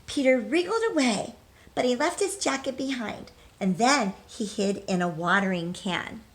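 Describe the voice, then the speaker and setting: High-voiced woman reading to children. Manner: expressive, addresses children directly, emphatic drawn-out key words. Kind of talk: children's storybook read-aloud with questions to young listeners.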